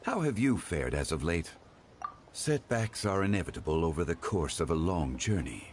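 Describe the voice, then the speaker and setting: Dignified, courteous video game gentleman. Low voice, expressive melody, formal measured phrasing. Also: noisy background. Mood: warm courtesy. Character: refined, courteous, knowledgeable gentleman with calm old-world dignity.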